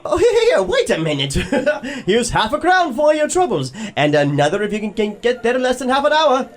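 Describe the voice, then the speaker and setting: A man playing an elderly reformed miser in an audio drama, theatrical and exclamatory, a jolly old gentleman. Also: very high-pitched, wildly swooping pitch, brisk, heavily acted. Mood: giddy, joyful.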